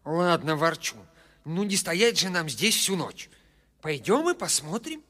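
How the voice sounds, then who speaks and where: An actor playing an excitable, good-natured dwarf leader in a cartoon, with a husky voice. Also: male voice, a little high, a little slow, exaggerated voice. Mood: curious, puzzled. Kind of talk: cartoon voice acting.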